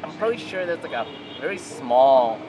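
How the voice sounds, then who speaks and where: A man's voice: a little high, animated, normal speed, over a noisy crowd. A man hosting a casual interview, asking questions in a curious, chatty way. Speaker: host interviewer. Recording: street recording with clear background noise.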